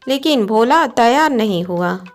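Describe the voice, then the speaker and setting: A woman's voice: on the high side, expressive, unhurried. A woman reading scripted storybook narration for a cartoon moral tale. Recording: music behind the voice. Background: background music.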